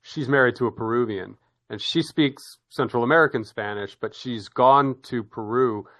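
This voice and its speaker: A man's voice: medium pitch, normal speed, fairly bright tone. A middle-aged man hosting a casual conversation, rambling with repeated words and restarts, laughing easily. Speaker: podcast host. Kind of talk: casual chat.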